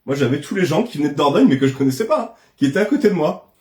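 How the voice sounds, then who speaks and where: A man's voice: fairly high pitch, lively intonation, a little mellow, roomy sound. A man telling a personal story in casual conversation. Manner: fast, storytelling with quoted speech.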